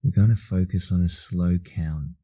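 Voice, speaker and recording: An Australian man leading a guided meditation in a soothing manner, leaving long pauses between slow instructions. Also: sits low, steady tone, mellow, slightly rough, no echo.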